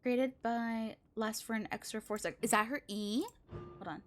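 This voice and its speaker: High-voiced woman game streamer, expressive. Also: moderate pace, soft background music. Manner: expressive, exclamatory, thinking aloud. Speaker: live streamer playing a video game. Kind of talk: casual chat with stream viewers while gaming.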